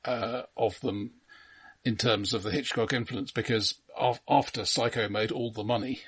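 A man with a somewhat husky, unpolished voice at an ordinary pitch chats about film as a co-host. He explains in a halting, faintly pedantic manner, careful over small factual points. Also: steady tone. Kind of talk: casual informative film discussion.

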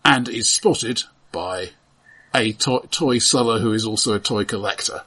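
A man co-hosting a casual film discussion, explaining in a halting, considered way. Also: lowish voice, pushed and bright, slightly rough. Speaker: podcast co-host.

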